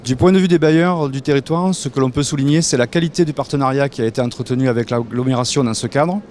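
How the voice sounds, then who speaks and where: Brisk, formal man over faint street noise. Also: mid-range voice, darkish tone. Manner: fluent, formal. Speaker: social housing landlords' representative. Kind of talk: prepared formal statement.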